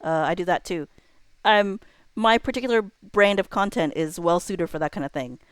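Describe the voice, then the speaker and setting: A woman with a fairly low, very clean and smooth voice hosts a casual livestream. She thinks aloud in a laid-back, slangy way, as if half talking to herself. The sound is close and dry, with very little room in it. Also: moderate pace, dry recording. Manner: informal, laid-back, slangy, muttering to self while working. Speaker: livestreamer hosting a chatbot roleplay stream.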